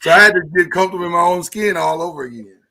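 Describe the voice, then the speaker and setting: A man's voice: racing, noisy background. Joking man hosting a live podcast. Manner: relaxed, joking, says he has a very deep voice. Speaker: podcast host. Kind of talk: casual chat.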